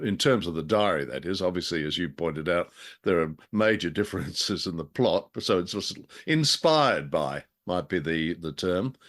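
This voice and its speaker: A middle-aged man co-hosting a show, chatting casually about stories in a wordy, wry, measured way. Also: low-pitched. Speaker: co-host of an old-time radio drama show. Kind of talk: casual chat about a story.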